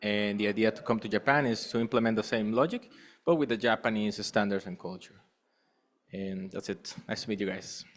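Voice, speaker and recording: A man's voice: lowish voice, steady tone, fairly quick. A man from Costa Rica, speaking casually as a panelist. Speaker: panel speaker, software engineer. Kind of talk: casual self-introduction at a panel.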